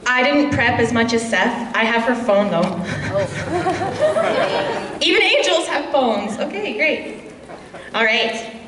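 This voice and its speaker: A woman's voice: on the high side, big pitch swings, slow pace, pauses often, crowd noise. Upbeat woman emcee in an echoey hall. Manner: chatty, upbeat, some ums. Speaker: emcee of festival opening ceremony. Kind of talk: casual stage hosting. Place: large indoor convention hall. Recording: echoey hall, mic heard from far.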